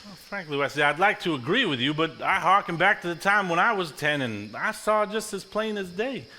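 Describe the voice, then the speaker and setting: An actor in a live stage play playing a supportive, reasonable family man in a measured, folksy manner. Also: high-pitched, animated, bright, forceful tone, full character voice. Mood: sincere.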